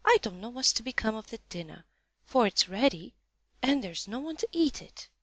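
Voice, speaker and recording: A woman's voice: fairly high pitch, sing-song, normal speed, fairly bright tone, dry recording, voice pushed up. A woman doing a fretful country housemaid. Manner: fretful, chattering. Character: flustered working-class housemaid, young woman.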